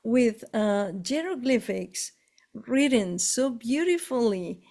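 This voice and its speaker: A woman with an Argentine Spanish accent, whose first language is Spanish, speaks slowly in a soft, mellow tone. She talks in a relaxed, halting way, often pausing to search for the right English word. Her delivery stays easygoing and conversational. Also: on the high side. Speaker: spiritual tour guide. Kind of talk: casual conversation.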